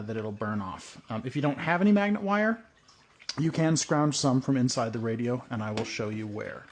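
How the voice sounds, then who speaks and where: Rambling man explaining a radio project. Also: mid-range voice. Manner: loose and rambling, many "uh" and "um". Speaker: prepping channel host. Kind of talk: casual hands-on tutorial.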